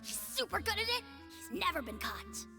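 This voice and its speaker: A woman voicing an excitable, naively eager small child in a video game. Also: extremely high pitch, moderate pace, vocal fry, soft background music, full character voice. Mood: eager, mischievous.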